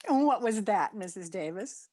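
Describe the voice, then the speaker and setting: A woman's voice: mid-range voice, lively intonation, normal speed, background noise, lightly acted. A woman playing a wry, good-humoured schoolteacher with dry quips, in old-time radio comedy style. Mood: dryly patient. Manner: wry, dry comic delivery.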